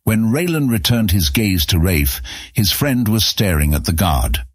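A man's voice: lowish voice, very animated, measured pace, slightly rough, no room sound, studio quality. A man narrating a scripted fantasy story as an audiobook narrator.

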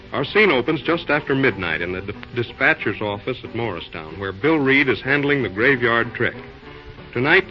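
Vintage radio announcer, male, mid-range, scripted storytelling over soft music, dull old recording. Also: mid-range voice.

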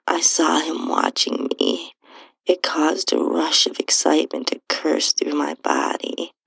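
A woman narrating an intimate first-person erotic story in a breathy, hushed, sultry voice. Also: low voice, flat delivery, measured pace, dry recording, poor audio. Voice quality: breathy, whispery, husky. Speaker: podcast host and narrator reading own story.

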